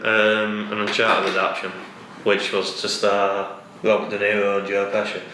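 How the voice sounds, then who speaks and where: A man's voice: on the low side, monotone, normal speed. A man, chatting casually about films in a blunt, opinionated, sweary way. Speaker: co-host. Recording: echoey room, distant mic, faint noise.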